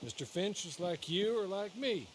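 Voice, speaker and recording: Folksy frontier go-between. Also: male voice, high-pitched, animated, normal speed, a little hiss or hum, low-quality recording. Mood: measured, persuasive. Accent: American. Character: businesslike frontier male go-between for a crime boss. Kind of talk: video game cutscene acting.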